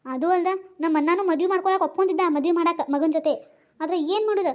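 A fretful mother, acted by a woman with a North Karnataka Kannada accent talks in very high, rapid bursts. She coaxes her daughter and is quick to defend herself in a family drama skit. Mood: defensive. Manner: coaxing, defensive, rapid scolding.

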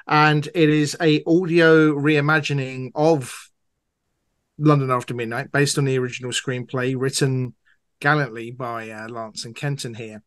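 A man's voice: medium pitch, flat delivery, a little slow, a bit bright, clear, resonant tone. A man speaking as a guest in an interview, explaining an audio production in a conversational way.

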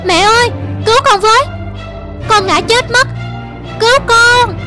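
A woman voicing a playful, careless young leopard cub in a children's cartoon, childlike and expressive. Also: extremely high pitch, a little slow, over music. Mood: panicked.

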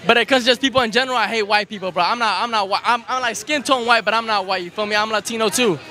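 High-voiced young man from Miami, slangy. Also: fast talker. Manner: casual slangy, says bro a lot. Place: city street at night, outdoors. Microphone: handheld mic held by interviewer. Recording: crowd noise behind.

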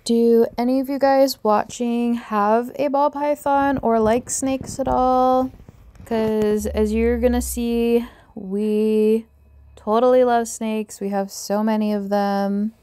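A young woman talks slowly and fondly as she shows off her animals. Her voice is a little high and clean, with a smooth, clear tone. The sound is dry and close, recorded outdoors on a handheld phone. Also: fairly even intonation, warm tone, no echo. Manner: chatty, slow and affectionate. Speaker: vlogger showing pet snakes. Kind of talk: casual show-and-tell narration. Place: outdoors on a backyard deck.

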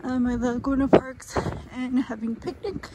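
A woman with a high voice talks slowly and haltingly, as in a casual walking vlog. She often lets her phrases trail off. Loud outdoor noise runs behind her phone recording. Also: little pitch movement. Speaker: vlogger. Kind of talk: casual walking-tour chat. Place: outdoors walking along roadside and hilly park. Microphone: handheld phone at arm's length.

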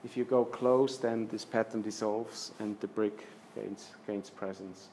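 A man's voice: fairly low pitch, fairly even intonation, unhurried, a little mellow, a little hiss or hum. Man lecturing with hesitant restarts. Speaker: architecture lecturer. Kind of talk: presenting slides in a lecture. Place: lecture room with projector screen.

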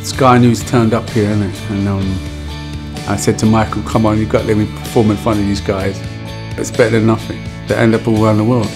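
Low-voiced man, candid. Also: little pitch movement, a little fast, creaky. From London. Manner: candid, street-casual talk. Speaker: project member, musician. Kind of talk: documentary interview. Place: indoor art room. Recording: music bed underneath.

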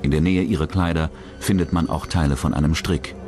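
A male narrator with a very deep voice reads a scripted true-crime documentary. Clearly audible music runs behind him. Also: steady tone, fairly quick.

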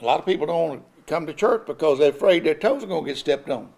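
Older man, high-pitched preacher, builds emphasis, chuckles now and then, pulpit mic. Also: lively intonation, fast, creaky, a little mellow. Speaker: pastor. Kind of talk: sermon. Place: church sanctuary at a pulpit. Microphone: pulpit microphone.

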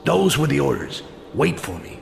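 Gravelly, cynical goblin rogue. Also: male voice, mid-range voice, big pitch swings, moderate pace, over music. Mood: plotting. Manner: muttering to himself, sardonic, swearing. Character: cynical, foul-mouthed goblin rogue, bitter and scheming. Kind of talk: dramatic video game acting.

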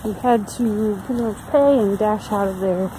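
A young woman vlogger talking casually to her viewers into a phone camera held at arm's length, with plenty of ums and quick asides. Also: mid-range voice, noisy background. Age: young adult. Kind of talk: casual vlog chat. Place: car and bookstore, later a home room at a webcam. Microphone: phone camera at arm's length, later a webcam.

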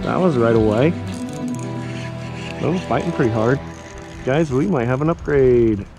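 Slow-paced man, casual vlogger. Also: mid-range voice, over music. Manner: informal, talking to viewers and to himself while fishing. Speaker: fishing vlogger. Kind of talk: casual outdoor vlog narration. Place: outdoors by and on a pond. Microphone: action camera, handheld or worn.

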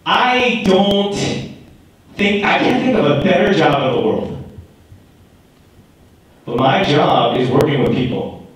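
An earnest man speaks from a podium about leading others, his fairly high voice ringing through a big, booming auditorium. He sounds set back from the mic, with music laid under the recording. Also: expressive, slow pace, pauses often, heavy reverb, distant mic, rough recording. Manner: earnest, building to emphatic calls. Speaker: guest speaker. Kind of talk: motivational speech. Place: large school auditorium. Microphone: podium mic, recorded from the hall.

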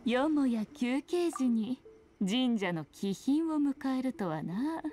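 A woman's voice: sits high, lively intonation, slow pace, mellow, slight background noise. A woman playing a sly, teasing, ancient fox-spirit shrine maiden in a video game, speaking in an archaic, haughty manner with playful airs. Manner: archaic haughty Japanese speech, playful mock sympathy. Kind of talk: scripted video game dialogue.

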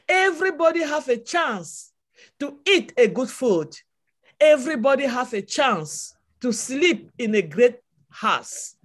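Woman from Cameroon, French-accented English, slow deliberate delivery, slightly high voice, fervent repeated phrases, heard over a video call. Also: fairly bright tone. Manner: passionate, repetitive preaching cadence. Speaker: webinar speaker. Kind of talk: motivational testimony.

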